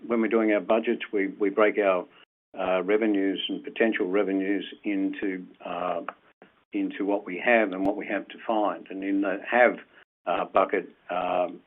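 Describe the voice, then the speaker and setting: A man's voice: low voice, level tone, moderate pace. A man speaking as a company executive, answering questions on an earnings call in an explanatory way and restarting phrases. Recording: tinny, phone-like conference call line.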